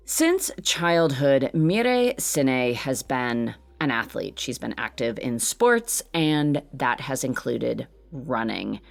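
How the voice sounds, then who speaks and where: A woman's voice: sits low, gravelly. A woman podcast host and producer reading a scripted introduction in an earnest, emphatic way.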